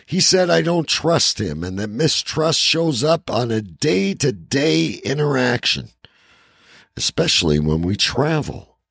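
A man's voice: mid-range voice, very animated, a little slow, vocal fry, a bit bright, husky, no echo, studio quality. A man co-hosting a podcast in casual banter and letter reading, with a blunt, profane way of talking. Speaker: podcast co-host.

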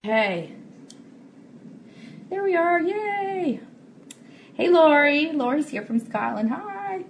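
A woman's voice: very high voice, sing-song, slow pace, long pauses, a little hiss or hum. A woman co-hosting a casual live online show, chatty and effusive as she speaks to her viewers. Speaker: co-host and founder of a radio show.

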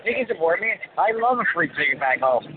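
A man's voice: high-pitched, sing-song, quick pace. A man from France, a protester being interviewed outdoors, speaking bluntly and crudely. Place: outdoors on a city street. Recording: tinny phone line with street noise.

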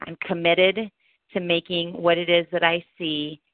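Woman, lowish voice, affirming scripted visualization read, thin phone-call sound. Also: level tone, measured pace, no room sound. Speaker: speaker reciting a personal vision. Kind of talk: scripted first-person visualization narration. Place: telephone call. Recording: tinny phone conference line.

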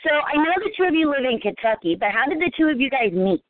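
American woman podcast host, forties, squeaky high voice, animated casual storyteller, phone-like sound. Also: fast talker, no echo. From Cincinnati, Ohio, United States. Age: 40s. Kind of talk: casual chat. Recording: thin phone-like audio, dry.

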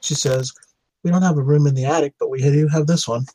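A man on a podcast panel recounting game events casually, in a halting, matter-of-fact way. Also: a little high, steady tone, mellow, no room sound, low-quality recording.

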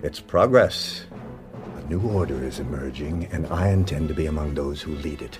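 Deep-voiced, pompous imperial nobleman. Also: moderate pace. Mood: grandiose. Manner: pompous, formal, theatrical delivery. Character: arrogant, ambitious imperial nobleman, scheming and imperious. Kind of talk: space-opera radio drama acting. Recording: music behind the voice.

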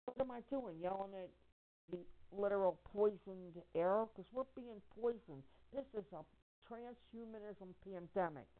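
A woman speaking as a caller on a phone conference, giving impassioned personal testimony in a halting, emphatic way, repeating phrases for weight. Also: mid-range voice. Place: telephone conference call. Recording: narrow tinny phone-line audio.

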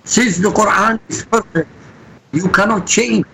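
A man's voice: high-pitched, measured pace, creaky, bright, forceful tone, slight background noise, poor audio. A man from Iraq, a guest answering interview questions in an emphatic, insistent way. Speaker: guest commentator.

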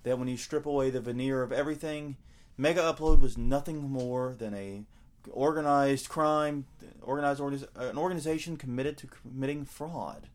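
A man's voice: medium pitch, normal speed. A man co-hosting a casual podcast, talking things over with frequent fillers and self-corrections. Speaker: podcast co-host.

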